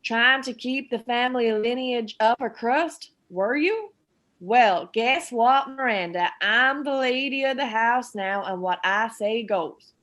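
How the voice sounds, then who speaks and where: Woman acting a middle-aged household matriarch, high-pitched, scornful, sarcastic, commanding, radio play. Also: takes it slowly, fairly bright tone. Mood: scornful, defiant. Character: bossy, scornful middle-aged daughter-in-law fed up with ghost. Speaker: actor in an amateur radio play.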